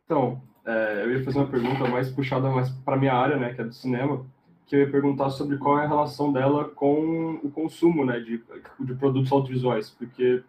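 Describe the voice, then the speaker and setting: A man's voice: medium pitch, steady tone, fairly quick, lo-fi sound. A man with a Brazilian Portuguese accent asking a long, searching question about film. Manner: searching, hesitant phrasing. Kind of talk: conversational interview. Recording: faint background noise.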